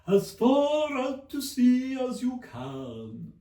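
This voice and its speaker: A man voicing a mournful, excitable turtle creature from a storybook, with slow, drawn-out, emphatic delivery. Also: very high voice, gravelly, voice pushed up, exaggerated voice. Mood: excited. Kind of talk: theatrical storybook character reading. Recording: roomy echo, distant microphone.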